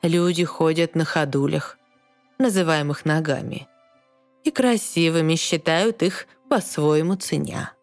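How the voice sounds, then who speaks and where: A woman playing a wise, kindly old mermaid grandmother in a verse audio fairy tale, calm and measured as she explains. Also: deep voice, no room sound, soft background music. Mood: firm advising.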